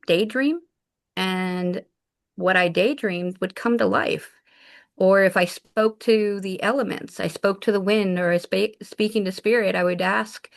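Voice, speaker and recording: A woman's voice: medium pitch, creaky. A woman raised in Japan, a shaman guest in an interview, telling her life story in an earnest, reflective way. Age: adult mother of four. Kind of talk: casual interview talk.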